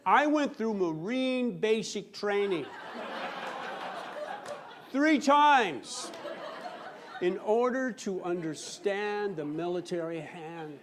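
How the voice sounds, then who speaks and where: A man with a notably high voice delivers a humorous storytelling speech on stage. He boasts with a straight, mock-serious air and leans on theatrical pauses for effect. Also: animated, crowd noise, background noise. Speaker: speech contest competitor telling a comic tall tale. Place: live on stage before a laughing audience.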